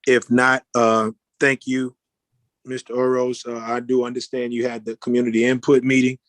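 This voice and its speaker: A man chairing a formal virtual meeting in a halting, courteous way. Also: mid-range voice, completely flat delivery, fairly bright tone, no room sound.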